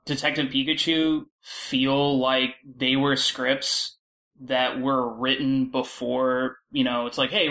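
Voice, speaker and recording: A man's voice: mid-range voice, pushed and bright. Blunt, casual male panelist. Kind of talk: casual discussion.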